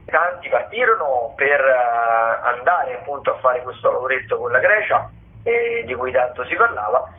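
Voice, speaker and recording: Halting man on a phone line. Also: medium pitch, lively intonation. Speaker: theatre critic, radio contributor. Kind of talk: informal spoken listings review. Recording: tinny narrow-band phone line.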